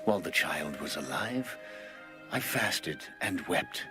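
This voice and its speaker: A man's voice: rumbling low, animated, takes it slowly, music in the background, full character voice. An actor playing a solemn, grieving and resigned king in a dramatized audio Bible. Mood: solemn grief.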